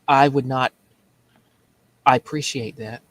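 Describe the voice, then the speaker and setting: A man's voice: mid-range voice, fairly even intonation, a little slow. A man hosting a political commentary show in a casual, joking way, rambling and repeating himself as he mocks. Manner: rambling, repetitive, mocking.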